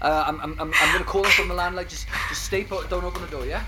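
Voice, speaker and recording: A man's voice: high voice, fairly even intonation, quick pace, over loud music, lightly acted. An actor playing a worried man on an emergency call in an audio drama, stammering and repeating himself urgently. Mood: worried, reassuring. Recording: very noisy recorded phone call.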